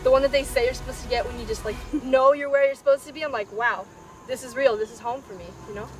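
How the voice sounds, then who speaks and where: A woman's voice: high-pitched, steady tone, fast, distant mic. A young female college student telling a casual anecdote to camera. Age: young adult. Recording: off-mic, music bed behind.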